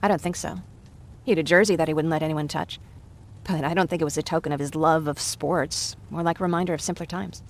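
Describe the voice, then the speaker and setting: Low-voiced, candid young woman. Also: steady tone, quick pace, slight background noise. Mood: wistful, chatty. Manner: candid, chatty. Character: earnest, talkative young woman, war survivor. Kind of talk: naturalistic video game acting.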